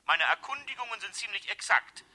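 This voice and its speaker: An actor in a 1970s German radio drama playing a nervous, calculating embezzler and blackmailer in a theatrical manner. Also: male voice, sits high, lively intonation, fairly quick, pushed and bright, no echo, full character voice. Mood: smooth, menacing. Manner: theatrical, muttering asides to himself. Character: scheming male embezzler, nervous, calculating, smoothly menacing blackmailer.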